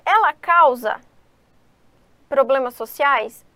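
Brazilian woman teacher, high voice, dry close sound, explains exam answers to students. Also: animated, takes it slowly, sharp tone, no echo. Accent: Brazilian Portuguese. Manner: explanatory, addresses students, asks and answers own questions. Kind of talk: exam-prep lesson, reading and explaining questions.

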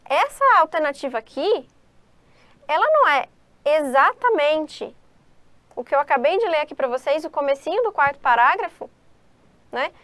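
A woman's voice: sits high, lively intonation, moderate pace, long pauses, a bit bright, no room sound. A Brazilian Portuguese-speaking woman teacher walking students through exam questions, asking and answering her own questions. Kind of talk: exam-prep lesson, reading and explaining questions.

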